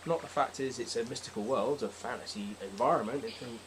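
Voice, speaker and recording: A man's voice: mid-range voice, brisk. A man speaking as a podcast guest in casual, opinionated chat, exasperated and sweary. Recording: clear background noise.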